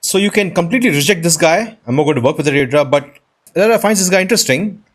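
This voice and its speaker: A man's voice: a little high, animated, fast talker. A man hosting a casual let's play, chatty and thinking aloud as he goes. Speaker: gaming video host. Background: soft mallet game music.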